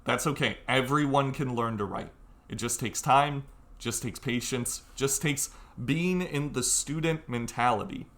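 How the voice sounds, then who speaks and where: A man's voice: medium pitch, measured pace, fairly bright tone. A young man giving an informal video tutorial, talking casually and bluntly, urging the listener on with emphasis. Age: young adult. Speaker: tutorial presenter.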